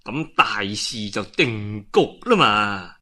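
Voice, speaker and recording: Man as a low, scheming Cantonese adviser. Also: animated, slow pace, bright, forceful tone, exaggerated voice. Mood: sly, conspiratorial. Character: scheming male adviser plotting treachery.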